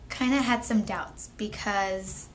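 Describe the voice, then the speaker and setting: A 22-year-old woman speaking in a measured, thoughtful way as a young mother sharing her story. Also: mid-range voice, a little hiss or hum, rough recording. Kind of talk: candid personal testimony.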